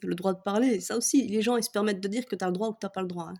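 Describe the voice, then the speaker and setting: A woman's voice: medium pitch, rapid-fire, a little mellow. A woman from Belgium with a Belgian French accent, ranting casually and mockingly, swearing often and breaking into laughter. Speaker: vlogger. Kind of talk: casual comic rant to camera.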